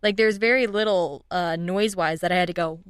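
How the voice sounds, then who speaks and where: A woman's voice: fairly low pitch, a little fast. A young woman chatting informally as a podcast guest, often acting out little quotes to make her point. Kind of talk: casual chat about audio drama.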